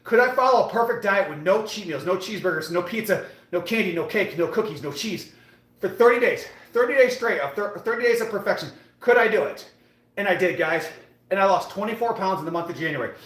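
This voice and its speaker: A man's voice: high voice, a bit bright. An American man in his late thirties chatting casually to livestream viewers during a workout, with a motivational, repetitive way of talking. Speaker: fitness live streamer. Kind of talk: casual livestream chat while working out. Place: indoor gym. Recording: echoey room.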